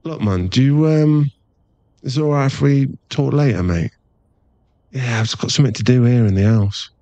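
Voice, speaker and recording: A man's voice: mid-range voice, moderate pace, mellow, dry recording, highs cut off, lightly acted. A man playing a playful, affectionate, laddish werewolf boyfriend in a casual, teasing ASMR roleplay. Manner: casual, laddish, teasing, drops into murmured asides.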